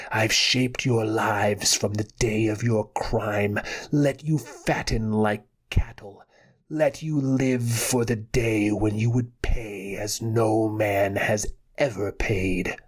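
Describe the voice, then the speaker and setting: A man's voice: lowish voice, slow, husky. A man voicing a sinister undead wizard who speaks in a hissing, menacing way, like a character in an audiobook. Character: sinister undead male wizard, vengeful and gloating.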